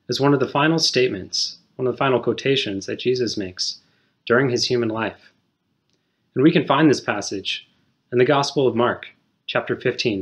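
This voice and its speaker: A man in his thirties giving a measured, scripted short sermon in a small indoor room. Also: medium pitch. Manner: measured, explanatory, reads scripture aloud.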